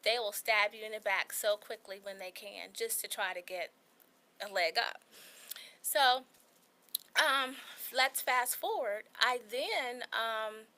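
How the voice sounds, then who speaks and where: A woman's voice: a little high, slow pace, sharp tone. A woman in her forties with a small, light voice, telling her own story as a guest on a talk show, earnest and candid. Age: 40s.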